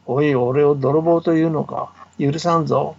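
A man voicing a big, lazy male cat who is proud and grumpy and answers back gruffly, heard over a muffled remote line. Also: a little high, steady tone, unhurried, exaggerated voice. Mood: furious. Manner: haughty, gruff retorts.

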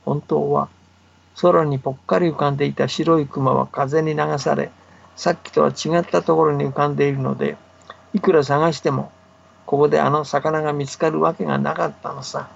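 Man, gravelly, fairly high, relaxed folktale teller, chatty asides, muffled remote line. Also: normal speed. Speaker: guest folktale storyteller. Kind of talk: folktale telling in casual talk. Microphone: remote line to the studio.

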